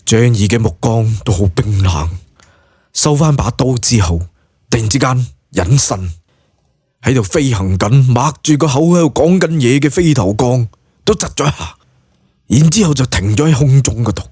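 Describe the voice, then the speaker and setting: A man's voice: mid-range voice, expressive, moderate pace, darkish tone, slightly rough, no room sound, clean studio sound. A man with a Cantonese accent narrating an audiobook story in a dramatic, colloquial storytelling style.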